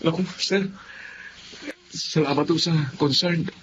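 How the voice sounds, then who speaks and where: An actor playing a polite, deferential young employee in a Filipino radio drama. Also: male voice, fairly high pitch, slow, a bit bright, a little hiss or hum, low-quality recording.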